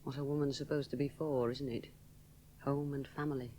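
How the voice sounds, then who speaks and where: A woman's voice: a bass voice, little pitch movement, a little fast, warm tone, slight background noise, poor audio. An actress playing a young woman aviator alone over the sea, musing inwardly, often dropping to a whisper. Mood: brooding. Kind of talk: 1970s radio drama inner monologue.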